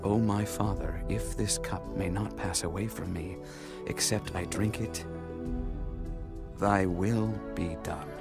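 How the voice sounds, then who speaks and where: An actor playing a calm, solemn male teacher who speaks in measured tones, in a dramatized scripture reading with music behind. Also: deep voice, gravelly, exaggerated voice. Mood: prayerful.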